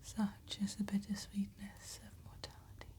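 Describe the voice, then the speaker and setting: A woman playing an ancient, calm and seductive female vampire tattooist in a soft-spoken, whispered ASMR roleplay, with measured, formal phrasing. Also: mid-range voice, faint music behind, close to an everyday voice. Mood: wistful, knowing. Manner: slow, measured, formal, old-fashioned phrasing.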